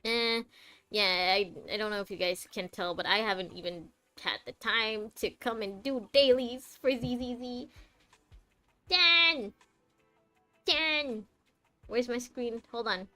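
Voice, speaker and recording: A young woman talks casually to her stream chat in a high, animated voice, laughing easily as she catches her viewers up. Soft game music sits quietly behind her. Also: takes it slowly, no echo. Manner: chatty and animated, laughs often, greets chat by name.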